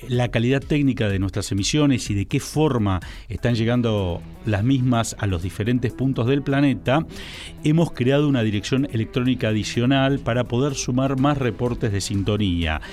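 A man with an Argentine accent hosting a radio talk show from a studio microphone, speaking in a formal, measured announcer manner. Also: medium pitch, a little mellow. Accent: Argentine Spanish. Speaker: radio host.

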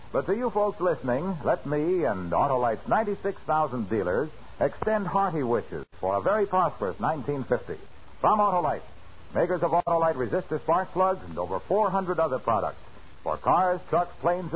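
Animated, high-pitched 1940s radio announcer man. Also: a little hiss or hum. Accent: American. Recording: muffled 1940s radio broadcast with music.

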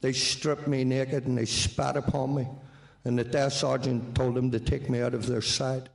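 A man with a Belfast accent and a gravelly voice, giving spoken first-person testimony about his own experience. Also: medium pitch, level tone, moderate pace. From Belfast, Northern Ireland. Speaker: former prisoner recounting his arrest.

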